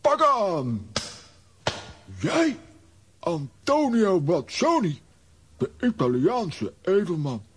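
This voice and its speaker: High, blustering comic hero. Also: male voice, very animated, very slow, pauses often, slight background noise, heavily acted. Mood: indignant. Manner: indignant, theatrical. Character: blustering, hot-headed comic adult hero. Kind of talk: children's radio play acting.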